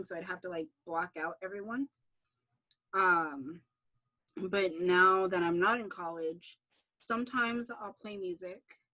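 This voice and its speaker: A woman's voice: mid-range voice, pushed and bright, poor audio. Casual young woman thinking aloud. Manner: informal, thinking aloud in loose fragments. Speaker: interviewee, writer and show creator. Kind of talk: casual unscripted interview answers.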